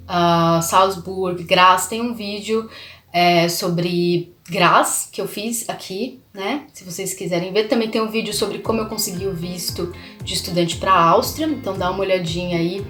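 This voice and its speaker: A young woman from Brazil hosts a casual vlog, talking in a chatty way and tagging her sentences with questions to the viewer. Her voice sits in the middle range and sounds a bit roomy. Soft music plays faintly behind her. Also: fairly low pitch. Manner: chatty, many fillers and tag questions. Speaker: language channel host.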